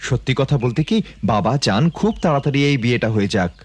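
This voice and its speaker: A man's voice: medium pitch, animated, quick pace, lightly acted. A man playing a principled, polite young student son in a cartoon, reasoning earnestly. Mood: calm. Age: young adult. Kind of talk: cartoon voice acting.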